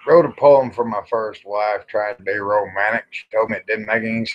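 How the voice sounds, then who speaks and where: A man's voice: on the low side, steady tone, fairly quick. A middle-aged man, hosting a casual livestream chat in a folksy, rambling, salty-tongued way. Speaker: livestream host. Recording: dull lo-fi livestream audio.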